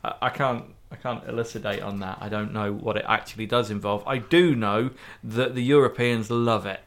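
A man co-hosting a casual podcast chat, dry and deadpan, tripping over hard names. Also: on the low side, moderate pace. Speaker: podcast co-host.

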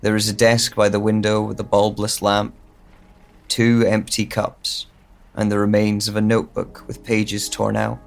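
A man's voice: on the low side, completely flat delivery, normal speed, gravelly. An actor playing a bewildered, lonely man with lost memory in a hesitant, introspective audio drama monologue. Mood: pensive, uneasy. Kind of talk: slow first-person audio drama monologue.

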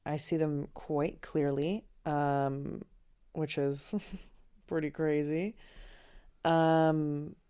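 Podcast host, woman, low register, casual and reflective solo talk, filler-heavy and unscripted. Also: flat delivery, drawn-out words, pauses often, warm tone, clean tone. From Finland. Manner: candid and reflective, with frequent "like" and "um" fillers. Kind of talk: casual personal monologue.

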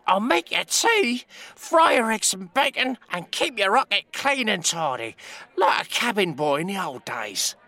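A man's voice: sits high, very animated, unhurried, pushed and bright, no room sound, voice pushed up, exaggerated voice. A man voicing an eager fifteen-year-old boy in a comic story, with a small, piping voice. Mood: eager, pleading.

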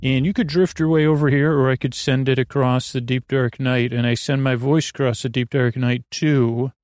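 A man with a creaky, soothing voice, hosting a bedtime podcast in a halting, meandering monologue full of tangents. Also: mid-range voice, fairly even intonation, darkish tone, dry recording, studio quality. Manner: lulling, soothing. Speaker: podcast host.

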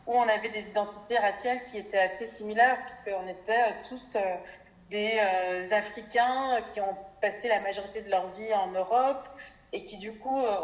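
A woman's voice: fairly high pitch, dull audio. A woman, giving thoughtful, articulate answers as an interview guest. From Belgium. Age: 30s. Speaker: interview guest, development NGO worker. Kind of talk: reflective personal interview answers. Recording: echoey room with clear background noise.

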